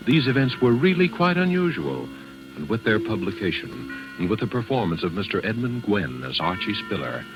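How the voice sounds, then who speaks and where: A man's voice: lowish voice, very animated, moderate pace, highs cut off. A man playing an ominous, mysterious host, introducing a 1940s radio drama in a formal, portentous manner. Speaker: host and announcer. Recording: vintage 1940s radio, loud music behind.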